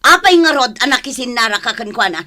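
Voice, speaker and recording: A woman's voice: a little high, lively intonation, fast talker, bright, forceful tone. A woman playing a strict, suspicious, nagging mother in a comedy drama, with a northern Philippine accent. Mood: angry scolding. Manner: nagging, exclaiming, interrogating. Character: scolding, suspicious, strict mother of grown daughters. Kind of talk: amateur comedy drama acting.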